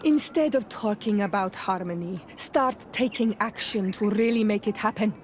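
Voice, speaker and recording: A woman's voice: mid-range voice, moderate pace, a little hiss or hum, lightly acted. A woman playing a frank, principled young native companion in a video game, speaking earnestly and directly. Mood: reproachful. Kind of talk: video game dialogue acting.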